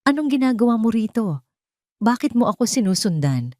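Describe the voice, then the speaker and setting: A woman's voice: a little high, very animated, fairly quick, mellow, no echo, clean studio sound, pitched above the performer's own voice. Woman playing a wounded, resolute betrayed wife. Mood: hurt, questioning. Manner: controlled, guarded, calculated. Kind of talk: Tagalog audio drama dialogue.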